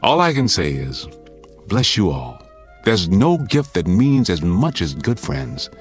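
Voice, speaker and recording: An American actor playing a wry middle-aged doctor, mock-grumpy and gruffly affectionate, in a radio drama. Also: male voice, lowish voice, big pitch swings, measured pace, soft background music. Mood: wry, heartfelt.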